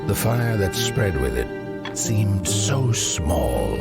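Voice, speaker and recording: An actor playing a grave old storyteller, narrating a dark fantasy game tale in a solemn, portentous manner. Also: male voice, low voice, very animated, very slow, vocal fry, heavily acted. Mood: solemn, hushed. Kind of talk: scripted dramatic narration. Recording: music behind the voice.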